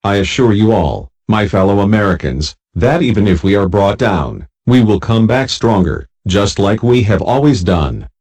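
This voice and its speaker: A man playing a solemn American president giving a grave, measured, formal address to the nation in wartime. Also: lowish voice, big pitch swings, a little mellow, husky, heavily acted, poor audio. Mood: grave, resolute.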